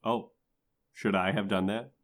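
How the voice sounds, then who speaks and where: A man's voice: fairly low pitch, fairly even intonation, a little slow. A man co-hosting a podcast, chatting casually in a jokey, loose way. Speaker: podcast co-host. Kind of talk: casual bantering podcast chat.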